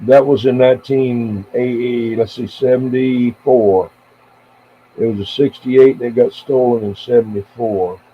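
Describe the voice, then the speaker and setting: Casting: American man in his seventies, average pitch, casual halting CB chatter, thin narrow-band audio with slight noise. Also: steady tone. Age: 70s. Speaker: CB radio operator and live streamer. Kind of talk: casual rambling radio chat. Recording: tinny band-limited radio audio with faint noise.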